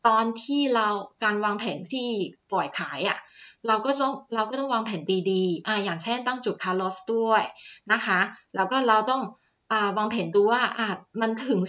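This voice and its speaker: Bright-voiced woman explaining stock charts. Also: medium pitch, muffled recording. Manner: chatty, filler-heavy, polite particles. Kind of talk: screen-share chart walkthrough. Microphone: voice-over on screen recording.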